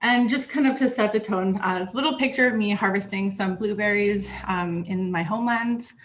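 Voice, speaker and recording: Soft-spoken woman from Northern Ontario. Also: mid-range voice, normal speed, slight background noise, poor audio. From Northern Ontario, Canada. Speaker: presenter, program coordinator. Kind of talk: online presentation. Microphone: video call.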